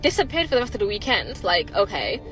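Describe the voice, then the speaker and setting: A woman's voice: mid-range voice, very animated, quick pace, loud music behind the voice, rough recording. A woman talking casually in a social media video, chatty and mocking. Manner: chatty, mocking when quoting.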